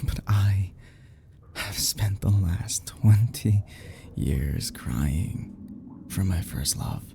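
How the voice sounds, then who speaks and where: A man acts out an obsessive, unstable male kidnapper hopelessly in love, for an intimate audio roleplay. The voice is low and slow, with a very soft, dark tone. He stammers and falters, often sinking toward a whisper, over a faint noisy background. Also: full character voice. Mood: unhinged, infatuated. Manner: halting, stammering, breathy nervous chuckles, often dropping to whispers.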